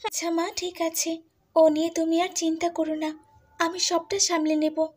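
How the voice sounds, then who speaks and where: A woman's voice: very high voice, level tone, normal speed, darkish tone. A woman playing a meek, dutiful young stepdaughter in a cartoon fairy tale, speaking politely and eager to please. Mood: obedient.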